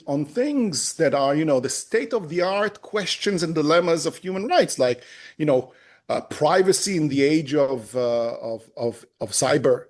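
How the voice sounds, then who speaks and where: A man in his fifties from Israel, a native Hebrew speaker, talking as a guest in an interview, pausing often with fillers and restarts. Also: on the high side, darkish tone. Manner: hesitant, many fillers and restarts. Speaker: human rights lawyer, guest. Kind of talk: conversational interview.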